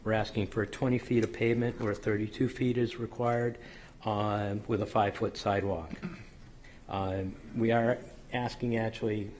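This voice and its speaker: Hoarse man presenting plans, flat and low. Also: moderate pace, slight background noise. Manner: methodical, technical, frequent ums and self-corrections. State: slightly hoarse. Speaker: applicant's engineer presenting a subdivision plan. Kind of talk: formal presentation at a public board meeting. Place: city council chamber.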